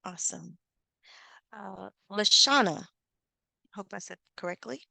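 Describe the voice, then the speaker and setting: A woman's voice: mid-range voice, a little slow, vocal fry, sharp tone, no echo. A woman hosting an online session over a video call, upbeat and encouraging, with lots of filler words. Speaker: host and facilitator.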